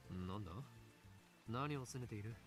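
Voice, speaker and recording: Man acting brusque, rapid-fire young man over loud music. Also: lowish voice, natural voice. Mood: scoffing. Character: brusque, self-important young man, irritated and theatrical. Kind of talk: Japanese visual novel voice acting. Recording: game audio under loud music.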